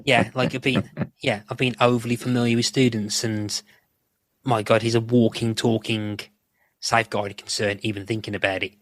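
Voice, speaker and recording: A man's voice: medium pitch, level tone, normal speed, no echo. A man chatting casually as a podcast guest, rambling and swearing freely.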